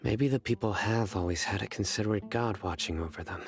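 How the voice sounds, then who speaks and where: Man playing a low-voiced, composed scholarly investigator. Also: faint music behind, close to an everyday voice. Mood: solemn, storytelling. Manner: measured, articulate, formal. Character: composed, scholarly male investigator, formal and measured. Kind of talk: scripted video game voice acting.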